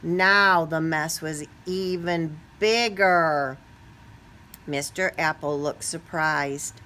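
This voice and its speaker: Woman, expressive picture-book reader for young children. Unhurried, bright delivery that leans on key words. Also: sits low. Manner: expressive, stretches key words, asks listeners questions. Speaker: storybook reader. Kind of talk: animated children's picture book read-aloud.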